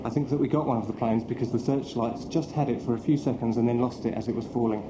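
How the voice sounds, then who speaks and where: An actor playing a cheerful young British naval sub-lieutenant with an English accent, reading a letter home in a chatty, understated way. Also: male voice, mid-range voice, fairly even intonation, quick pace, roomy sound, noisy background, natural voice.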